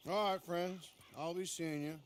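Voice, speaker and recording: Wry Old West marshal. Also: male voice, sits high, steady tone, a little slow, a little hiss or hum. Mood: folksy, reasoning. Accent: American. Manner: folksy, sardonic. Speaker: lawman. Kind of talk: video game cutscene acting.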